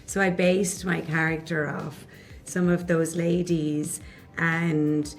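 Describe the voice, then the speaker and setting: An actress speaking in a thoughtful, unhurried way in a press interview. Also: female voice, deep voice, level tone, faint music behind.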